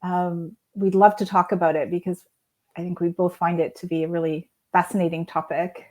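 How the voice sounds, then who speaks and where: A woman's voice: fairly low pitch, level tone, brisk, a little mellow. A woman co-hosting a casual writing chat, easygoing and affirming, wrapping up with friendly calls to the audience. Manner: easygoing, affirming, brief agreeing remarks. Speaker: podcast co-host. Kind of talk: casual conversation about writing.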